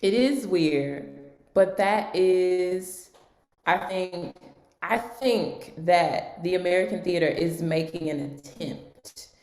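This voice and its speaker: A woman with a notably low voice moderates a roundtable discussion. She speaks earnestly, in a measured way, pressing her points with emphasis. Her voice echoes around the room, as heard over a video call. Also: a little mellow. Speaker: moderator, actress.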